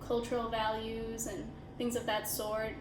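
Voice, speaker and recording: A woman's voice: a little high, completely flat delivery, unhurried, echoey room, background noise. A woman in her twenties, an architecture student, speaking reflectively in a casual interview with many filler words. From India, raised in Chicago suburbs. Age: 20s.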